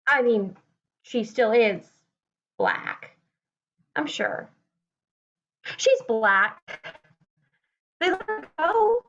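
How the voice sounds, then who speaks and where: A precious, awkwardly earnest female playwright, played by a woman. Her voice is fairly high and she takes her time, solemn about herself. The video-call sound is a little dull. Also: wildly swooping pitch, extremely slow, long pauses, fairly bright tone, heavily acted. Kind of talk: satirical dialogue in an online one-act play. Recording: slightly muffled video-call audio.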